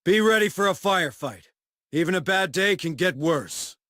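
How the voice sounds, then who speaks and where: A man voicing a gruff, plainspoken marine sergeant in a video game, commanding yet protective, with blunt, folksy military talk. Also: high voice, animated, normal speed, pushed and bright, dry recording, clean studio sound. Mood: commanding briefing.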